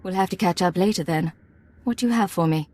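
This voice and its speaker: Actress as a cool, low-voiced secret agent. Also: female voice, a little fast, a little mellow, close to an everyday voice. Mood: cool, businesslike. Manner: clipped, cool. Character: guarded, no-nonsense female secret agent. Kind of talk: scripted video game acting.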